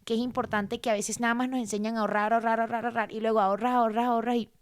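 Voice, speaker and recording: A woman, explaining personal finance in a casual, animated conversation and repeating words for emphasis. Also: fairly high pitch, rapid-fire, clean tone. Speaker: guest.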